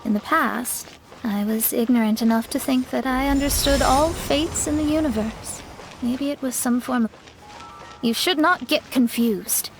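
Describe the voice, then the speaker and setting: High-voiced proud young astrologer. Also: female voice, unhurried, over music, poor audio. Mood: reflective. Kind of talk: video game voice acting.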